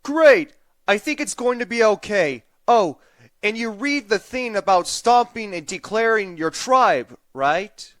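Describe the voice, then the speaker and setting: A man's voice: high voice, animated, unhurried, fairly bright tone, no room sound. A man voicing an earnest, uptight young male pony prince who talks stiffly and anxiously, in a fan audio drama. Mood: relieved.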